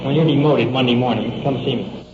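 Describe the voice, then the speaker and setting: A mid-pitched American man argues with police while being booked, choosing stiffly formal words with an indignant edge. It is an old 1950s field recording, dull and clearly noisy. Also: a little fast. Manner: formal, indignant, pedantic wording. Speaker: arrested suspect being booked. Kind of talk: argumentative exchange at police booking desk. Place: police station booking desk.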